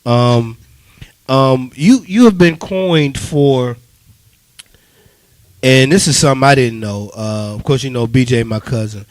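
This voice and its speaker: A man's voice: medium pitch, a little slow, pauses often, no room sound. Mid-voiced man interviewing in dry studio. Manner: informal, conversational, frequent acknowledgements and restatements. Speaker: radio interviewer. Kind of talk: casual radio interview. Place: radio studio.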